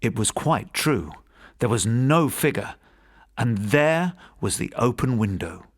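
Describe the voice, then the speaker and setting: Animated male storyteller, widely varied pitch. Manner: measured, formal storytelling. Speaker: audiobook narrator. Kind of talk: scripted gothic story narration.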